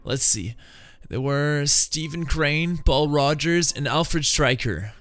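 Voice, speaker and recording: A man voicing a calm, inquisitive police commissioner in a comic-book drama, brisk and authoritative. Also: a little high, faint music behind. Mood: businesslike.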